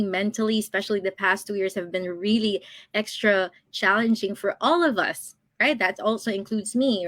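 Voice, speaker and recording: Bright, chatty woman in her thirties. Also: mid-range voice, no room sound. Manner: chatty, laughs often between thoughts. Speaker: guest, online business coach. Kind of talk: casual interview chat.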